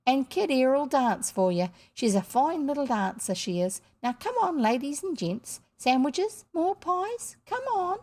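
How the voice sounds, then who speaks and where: A woman's voice: high voice, very animated, measured pace, hardly any pauses, a little mellow, voice pushed up. A woman voicing a boisterous, jovial working-class father in a children's storybook, colloquial and full of exclamations. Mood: hearty, festive. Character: boisterous, hearty working-class father just back home. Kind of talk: children's storybook character reading.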